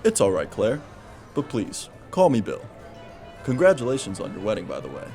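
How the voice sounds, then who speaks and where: A man's voice: medium pitch, wildly swooping pitch, normal speed, creaky, warm tone, faint music behind. A man playing a genial older insurance salesman in a noir audio drama, with chummy sales patter. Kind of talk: noir radio drama dialogue.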